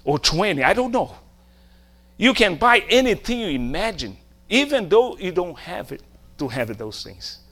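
A man's voice: high voice, big pitch swings, normal speed, bright, forceful tone. A middle-aged man from Brazil, a native Portuguese speaker with a Brazilian accent, preaching emphatically in short phrases and rhetorical questions. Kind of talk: sermon.

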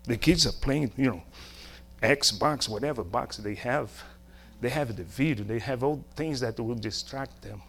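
Slow-paced Brazilian man preaching a sermon. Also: mid-range voice, vocal fry, fairly bright tone, slight background noise. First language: Portuguese. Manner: emphatic, with short phrases and rhetorical questions.

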